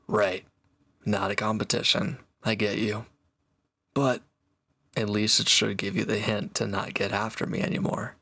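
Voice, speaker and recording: A man's voice: on the low side, little pitch movement, measured pace, vocal fry, long pauses, bright, forceful tone, heavily acted. A man playing a sardonic, teasing reformed villain in an intimate one-sided roleplay audio drama, with dry, casual delivery. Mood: calm, conceding.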